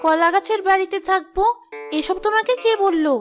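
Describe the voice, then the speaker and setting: A woman's voice: very high-pitched, a little fast, a bit bright, faint music behind. A woman voicing an exasperated, scolding village wife in a cartoon, with a nagging, complaining manner. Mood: puzzled. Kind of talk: cartoon voice acting.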